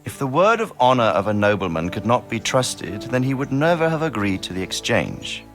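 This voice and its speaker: Middle-aged male medieval nobleman, lively intonation, fatherly, reflective, wry, game cutscene dialogue, faint music behind. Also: mid-range voice, a little fast. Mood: calm reasoning. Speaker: video game voice actor.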